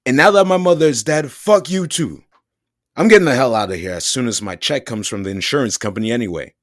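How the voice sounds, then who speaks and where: An actor playing a resentful young adult son who is sullen, sarcastic and foul-mouthed. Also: male voice, medium pitch, fast, dry recording, clean studio sound, close to an everyday voice. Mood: angry. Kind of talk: audio drama acting.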